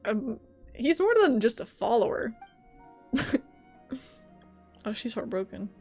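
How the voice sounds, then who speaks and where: A woman's voice: on the high side, very animated, takes it slowly, a little mellow, faint music behind. A woman game streamer giving casual live commentary, reacting informally as she plays.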